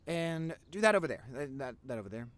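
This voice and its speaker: A man acting an excitable, lively-voiced nerdy boyfriend. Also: mid-range voice, quick pace, lightly acted. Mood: eager, excited. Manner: stammering, excitable, affectionate, teasing. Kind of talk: boyfriend roleplay audio, intimate one-sided dialogue.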